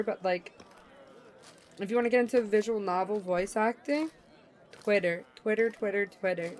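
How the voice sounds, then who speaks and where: A young woman streaming a visual novel, chatting casually in an expressive, slangy way. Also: mid-range voice. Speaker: game streamer. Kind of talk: casual chat while reading a visual novel.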